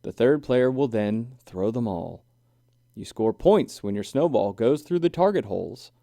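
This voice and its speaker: A man playing a friendly animal official at storybook games, giving instructions in a clear manner. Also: medium pitch, expressive, unhurried, warm tone, no room sound. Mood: instructive.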